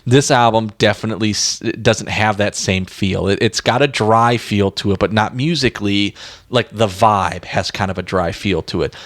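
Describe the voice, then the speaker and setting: Male podcast co-host, lower register, very dry sound, informal and emphatic music discussion. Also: normal speed, fairly bright tone, no echo.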